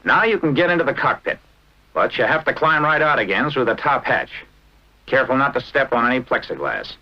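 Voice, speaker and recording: An American man narrating a 1940s military training film in a brisk, instructive manner with clipped short phrases. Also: medium pitch, lively intonation. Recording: dull, band-limited vintage film soundtrack.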